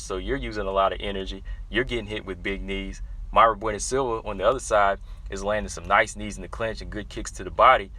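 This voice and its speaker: A young man casually breaking down a fight for his viewers, animated and talking straight to them as y'all. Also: lowish voice. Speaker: MMA analysis vlogger.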